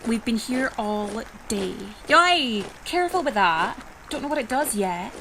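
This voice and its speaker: A bossy military ringleader, played by a woman in an audio drama. Her voice is sharp and on the high side, with a brisk, sardonic delivery. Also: animated, slight background noise, low-quality recording. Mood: businesslike.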